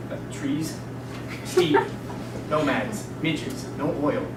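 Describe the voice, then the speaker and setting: A woman's voice: deep voice, wildly swooping pitch, slow pace, exaggerated voice. A woman playing a weary, fed-up male right-hand man, terse and bitter, in a staged dramatic reading. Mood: flat, evasive. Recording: echoey room, distant mic, noisy.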